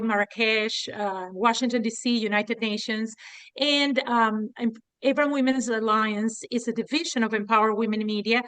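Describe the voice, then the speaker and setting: A woman from Venezuela and Lebanon gives a formal presentation as a guest in a smooth, resonant voice that sits a little high. She speaks earnestly and at a measured pace, with the odd hesitation. The sound is dry and close, with no room echo. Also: a bit bright.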